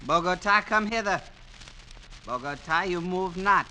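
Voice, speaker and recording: A man's voice: high-pitched, unhurried, bright, forceful tone, heavily acted. A man playing a stern, commanding blind villager who speaks in stilted phrases in an old radio drama. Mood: stern reproof. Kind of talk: 1940s-50s radio drama acting. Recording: noisy old radio broadcast.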